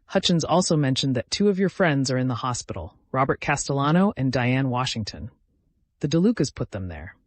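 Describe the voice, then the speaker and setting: A man's voice: medium pitch, big pitch swings, brisk, dry recording, lightly acted. A man voicing a professional, direct woman prosecutor with controlled tension in a dramatic audio story. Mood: measured.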